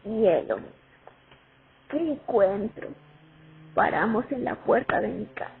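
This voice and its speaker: A woman playing a war veteran who recalls her years at the front in an expressive radio play monologue. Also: mid-range voice, slow pace, gravelly, a little hiss or hum. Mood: nostalgic, moved. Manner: reminiscing, expressive, dramatic. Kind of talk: radio play monologue, first-person testimony. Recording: tinny phone-like sound over music. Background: music.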